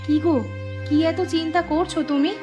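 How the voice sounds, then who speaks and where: A woman voicing a devoted, selfless village wife, gentle and reassuring, in an animated story. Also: very high voice, normal speed. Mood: caring, reassuring. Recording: clear music behind the voice.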